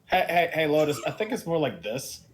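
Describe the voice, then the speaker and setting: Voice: medium pitch, lively intonation, a little fast, slight background noise. A tabletop game player chatting on a voice call, joking and giggly. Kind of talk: casual chat in a tabletop game.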